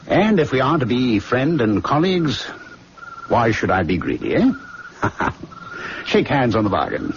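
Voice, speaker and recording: A man's voice: medium pitch, very animated, a little hiss or hum. An actor playing a sly, teasing, confident young medical man who needles and banters, in an old-fashioned radio drama. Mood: sly, amiable. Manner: needling, bantering, drawn-out questioning. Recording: dull lo-fi radio recording, music.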